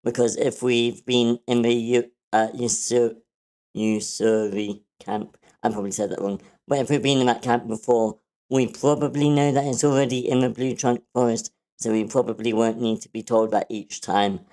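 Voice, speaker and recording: A man speaks in a mellow voice at a middling pitch. He gives informal, constructive critique and cushions it politely. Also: steady tone, moderate pace. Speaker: participant giving script feedback.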